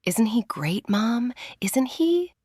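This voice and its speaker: A woman's voice: on the high side, very animated, slow pace, a little mellow, breathy edge, no room sound, clean studio sound, pitched above the performer's own voice, full character voice. A woman voicing a bubbly, smitten nineteen-year-old daughter, gushing and eager in a told story. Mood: hopeful.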